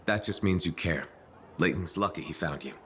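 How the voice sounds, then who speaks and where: Deep-voiced, steady game adventurer. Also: male voice, normal speed, slight background noise. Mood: supportive. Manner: casual, reassuring. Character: steady, friendly male freelancer adventurer, helpful and gently probing. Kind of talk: video game dialogue acting.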